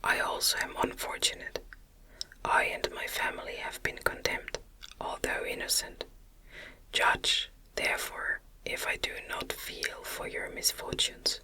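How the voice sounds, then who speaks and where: A woman reading a novel aloud as gentle ASMR, entirely in a breathy, unvoiced whisper. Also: poor audio. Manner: gentle, soothing, measured phrasing. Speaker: audiobook reader.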